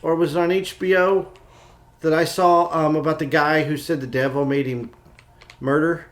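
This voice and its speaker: A man's voice: fairly high pitch, level tone, moderate pace. A man co-hosting a podcast in casual chat, speaking in an enthusiastic, opinionated and informal way. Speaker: podcast co-host.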